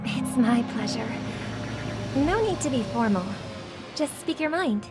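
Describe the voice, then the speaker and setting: An actress playing a gentle, compassionate, idealistic young woman in a video game drama, speaking politely and earnestly. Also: high voice, animated, a little slow. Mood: gentle, curious. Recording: loud music under the voice.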